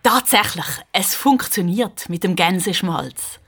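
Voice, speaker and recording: A woman's voice: on the low side, lively intonation, unhurried, a bit bright, breathy edge, faint music behind. A woman with a Swiss accent narrating a children's story in a playful, dramatic way.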